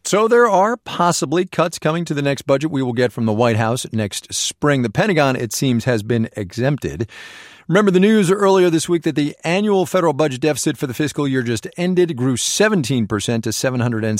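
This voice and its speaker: A man's voice: mid-range voice, animated, a little fast, dry recording, studio quality. An American man hosting a radio news show, reading scripted news conversationally with wry, informal asides. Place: dry broadcast studio.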